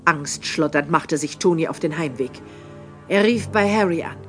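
Calm male audio drama narrator. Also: fairly high pitch, normal speed, over music. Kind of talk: scripted narration.

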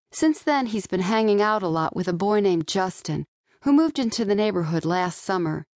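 A woman narrates a young-adult audiobook in the first person. Her voice sits fairly low. The recording is dry, with very little room sound. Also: darkish tone, no echo. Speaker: author narrating own audiobook. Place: dry studio.

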